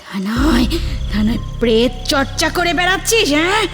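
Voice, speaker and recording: A woman's voice: high voice, sing-song, fairly bright tone, soft background music. A woman playing a vengeful demon spirit in a horror audio drama, drawing out and repeating her words in anguished wails and snarls. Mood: enraged, pleading. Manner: drawn-out, repetitive, anguished wailing and snarling. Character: vengeful female village demon spirit possessing a young woman.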